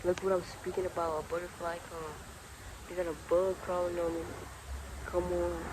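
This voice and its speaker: A man's voice: sits high, monotone, normal speed, noisy background. A man in his twenties, talking casually and earnestly outdoors by the water about spirituality, laid-back and repetitive. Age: 20s. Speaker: vlogger. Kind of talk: casual spiritual motivational talk.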